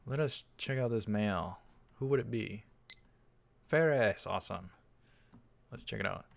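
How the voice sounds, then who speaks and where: A man's voice: fairly low pitch, measured pace, gravelly, a little hiss or hum. A man hosting a let's play, reading a visual novel aloud and adding casual commentary. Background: faint music.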